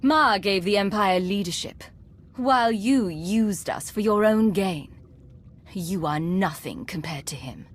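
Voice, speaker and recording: A woman's voice: mid-range voice, animated, unhurried. A woman playing a cool, resolute, defiant agent in a video game, speaking in a firm, controlled, accusing way. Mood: cold defiance. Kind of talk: video game cutscene acting.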